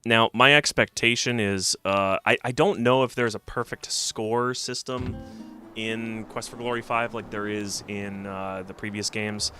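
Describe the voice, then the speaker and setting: A man's voice: fairly low pitch, steady tone, measured pace, pushed and bright. A man chatting casually while playing a game on stream, slightly stuffy from a cold and rambling as he thinks aloud. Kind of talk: casual let's-play commentary. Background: game music.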